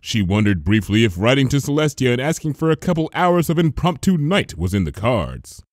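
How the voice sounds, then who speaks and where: Theatrical man narrating, wildly varied pitch. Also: fairly quick, no echo, clean studio sound. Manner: theatrical, expressive. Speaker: fan fiction reader. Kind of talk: dramatic scripted story narration.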